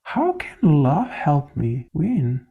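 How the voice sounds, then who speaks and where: A man's voice: a little high, huge pitch swings, drawn-out words, creaky, husky, full character voice. A man voicing a serious, frustrated young male athlete in a children's fairy tale, earnest, with a thin phone-like sound. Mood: puzzled.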